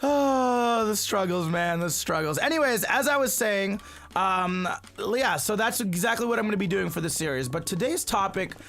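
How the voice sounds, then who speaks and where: A man with a somewhat high voice, originally from the Philippines, chats loosely to his viewers while he plays. His talk wanders, full of fillers and restarts, and he keeps calling the audience "you guys.". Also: lively intonation, moderate pace, fairly bright tone. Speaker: gaming channel host. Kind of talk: casual storytelling chat while playing a game. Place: at home, family cleaning in the house.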